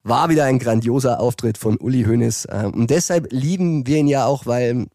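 Podcast host, male, mellow tone, wry and teasing, dry close sound. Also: mid-range voice, moderate pace, no room sound. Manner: wry, teasing, asks pointed questions. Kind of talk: casual sports podcast chat.

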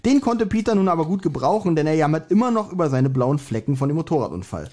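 A man's voice: a little high, big pitch swings, fast, mellow. A man co-hosting a podcast, casually recapping a story and searching for his words as he goes. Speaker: podcast co-host. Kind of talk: casual chat, recapping an audio drama plot.

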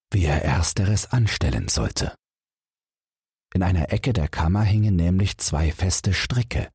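A man's voice: low voice, a little mellow, slightly rough, no room sound, clean studio sound. A man narrating a fairy tale audiobook as a scripted bedtime story.

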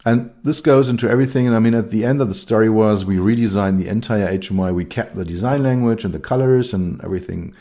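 A middle-aged man with a German accent talks through his ideas on his own. His voice sits very low and sounds mellow. He pauses, repeats words and fills gaps with ums. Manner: thoughtful, with many fillers and restarts. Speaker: consultant and keynote speaker. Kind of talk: solo talk on design.

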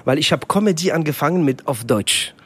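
A man's voice: a little high, expressive, brisk, husky. A man who grew up with French as his first language, a comedian and actor chatting casually in an interview, with many restarts and fillers. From half French, half Tunisian, raised in Germany.

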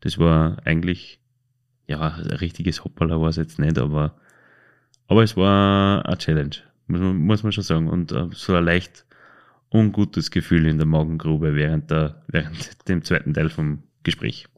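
A man's voice: extremely low pitch, fairly even intonation, moderate pace, a little mellow, dull audio. An Austrian man hosting a podcast, chatting casually and telling anecdotes in a hesitant, colloquial way, often correcting himself. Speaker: podcast host.

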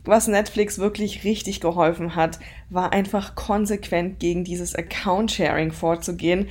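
A woman reports on the financial markets as a correspondent. Her voice sits fairly low and she speaks in a news report style. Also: steady tone, normal speed, a little mellow.

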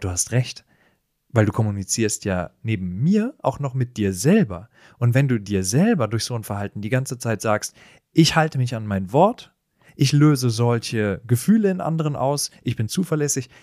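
A man chats as a podcast co-host, reasoning things through out loud. His pitch is average, and the recording is dry with hardly any room sound. He is inquisitive and keeps checking whether he has understood correctly. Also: no echo. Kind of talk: casual reflective podcast chat.

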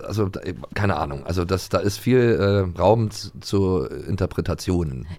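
A man's voice: sits low, normal speed, dark tone, no echo. A middle-aged man from East Germany co-hosting a studio podcast, chatting casually with hesitant fillers and laughter. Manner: hesitant, many fillers, laughs while talking. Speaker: podcast co-host. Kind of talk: casual chat.

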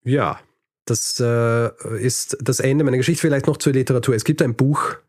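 A man with a medium-pitched voice hosts a podcast, telling his story casually. He pauses to find words and drops in light chuckling asides. The sound is close and dry. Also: steady tone, a little mellow, no room sound. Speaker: history podcast host telling the episode's story.

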